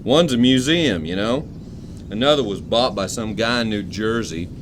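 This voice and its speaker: A man's voice: on the low side, normal speed, background noise, natural voice. An actor playing an eccentric, suspicious older man, a retired engineer with folksy, clipped country phrasing, in an audio drama. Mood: folksy, proud. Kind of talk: full-cast audio drama acting.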